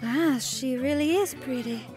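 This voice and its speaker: A woman voicing a sweet, gentle young snow woman who talks in a polite, folksy, timid way. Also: high-pitched, a little slow, faint music behind.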